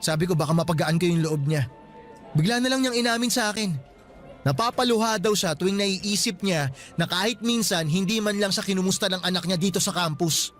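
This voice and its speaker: A man's voice: high-pitched, fairly quick, a bit bright, soft background music. A man voicing a resentful young male student in a radio drama, curt and guarded. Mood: firm.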